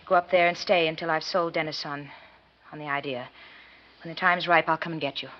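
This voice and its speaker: An actress playing a cool, guarded, scheming American femme fatale in a 1940s radio drama, speaking curtly with a seductive edge. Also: female voice, low voice, fairly even intonation, fairly quick, close to an everyday voice. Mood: calculating. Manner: curt, guarded, coolly seductive. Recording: muffled 1940s radio recording.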